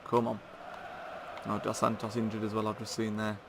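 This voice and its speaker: A man's voice: fairly low pitch, moderate pace, gravelly, noisy background. Chatty man with a sore throat. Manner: chatty and excitable, addressing viewers as guys. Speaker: gaming channel host. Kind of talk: live commentary over a football video game.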